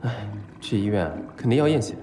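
Man voicing young male patient, excitable, hesitant, melodramatic, very animated intonation, roomy echo, audio drama. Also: fairly low pitch, a little fast, slight background noise, close to an everyday voice. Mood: reluctant, timid. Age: young adult. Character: flustered, lovestruck, needle-phobic young man, a patient. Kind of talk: audio drama acting with inner monologue. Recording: roomy echo, music behind.

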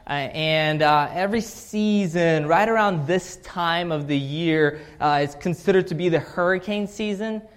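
Guy in his thirties preaching, tells a story with lots of uh and restarts, kinda high voice. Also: clean tone. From Russia. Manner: halting storytelling with many fillers and restarts. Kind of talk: scripture reading then personal story sermon.

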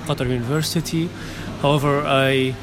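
A man's voice: mid-range voice, steady tone, moderate pace, over music. A man in his twenties from Syria, talking as a podcast guest in an earnest, hesitant way with many fillers. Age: 20s. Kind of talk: casual interview chat.

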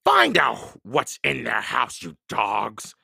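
Mean giant pirate boss, male reader: growling, commanding, forceful, lively swings. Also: medium pitch, slow pace, gravelly, husky, no room sound, clean studio sound, full character voice. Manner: roaring, commanding.

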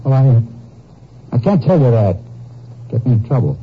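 A dull old radio recording with light noise carries a young-army-man role. He is nervous and evasive, stumbling over his words in a mid-pitched voice. He sounds defensive, like a cornered soldier in a 1950s crime serial. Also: sing-song, measured pace. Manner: hesitant, stammering, defensive. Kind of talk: 1950s radio drama acting.

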